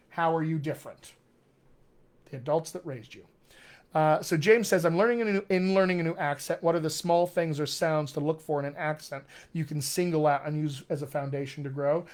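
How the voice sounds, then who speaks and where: A man's voice: a little high, normal speed. A man with a General American accent, a dialect coach answering viewer comments in an enthusiastic, encouraging, conversational way during a live stream. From Colorado, United States.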